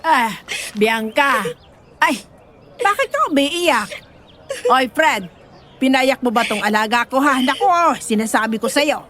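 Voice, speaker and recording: A woman's voice: sits high, animated, unhurried, pauses often, fairly bright tone, a little hiss or hum. A woman playing a protective, fussy middle-aged nanny in an audio drama, nagging and exclaiming in a Filipino accent. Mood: scolding, concerned.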